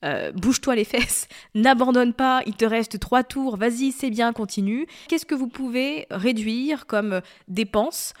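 A woman's voice: on the high side, unhurried, few pauses. A woman in her thirties hosting a solo podcast, talking in a chatty, confiding way that drifts off topic. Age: 30s. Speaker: podcast host. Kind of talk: casual solo podcast talk.

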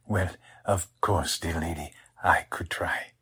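Pompous mind reader, low very breathy husky voice, slow delivery, 1940s radio theatrics. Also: male voice, steady tone, fairly bright tone, exaggerated voice. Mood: evasive, affronted. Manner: slow, pompous, wounded dignity. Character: pompous, theatrical male stage mind reader, short of stature. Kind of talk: 1940s radio drama acting.

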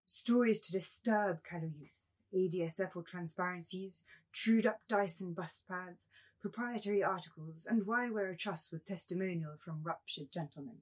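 A woman acting an ethereal, primly reproachful young nymph in a dramatised novel reading, with a husky voice. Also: on the low side, moderate pace, a little mellow, natural voice. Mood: sad reproach.

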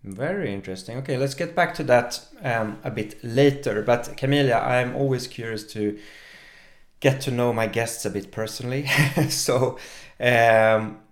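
A man hosting a podcast interview, talking in a halting way with many fillers as he introduces his guest. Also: medium pitch. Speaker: podcast host.

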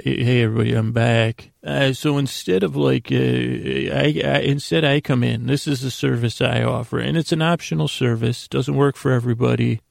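A man speaks in a heavily creaky, fry-laden voice at a middle pitch, close and dry on the mic. He hosts a sleep podcast, wandering through his monologue with halting starts and self-interruptions. His sentences often trail into side tangents. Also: darkish tone, no echo. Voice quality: very creaky, gravelly. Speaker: podcast host.

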